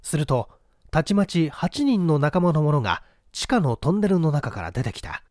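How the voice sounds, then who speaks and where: A man narrating a novel in a measured, theatrical storytelling manner. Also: fairly high pitch, sing-song, a little mellow, no echo, studio quality. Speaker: audiobook narrator. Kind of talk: dramatic literary novel reading.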